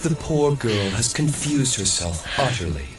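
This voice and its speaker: A man playing a calm, ominous telepath in an audio drama, measured, knowing and detached. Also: medium pitch, loud music behind the voice, lightly acted.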